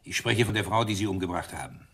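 An actor playing a gruff, sarcastic, impatient police inspector in a German radio crime drama. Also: male voice, low voice, animated, fast, a bit bright, heavily acted. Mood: sardonic, menacing. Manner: sardonic, gruff.

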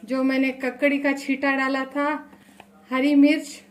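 Woman in her thirties, high voice held in a narrow band, home kitchen with faint room noise behind. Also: little pitch movement, unhurried. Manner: chatty, repeats "look" and blessings often. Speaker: cooking channel host. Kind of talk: home cooking tutorial.

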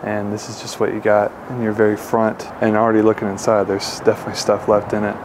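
A man's voice: on the low side, monotone, quick pace. A young man hosting a casual walking tour vlog, chatting to viewers as he explores an abandoned mansion, recorded on a handheld camera. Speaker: urban explorer host. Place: outdoors and inside an abandoned mansion. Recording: noisy outdoor camera audio.

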